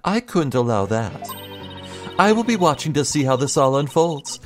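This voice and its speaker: Determined woman for a game, raised pitch, formal and deliberate, played by a man; music behind. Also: big pitch swings. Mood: resolute. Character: solemn, determined woman writer seeking the truth.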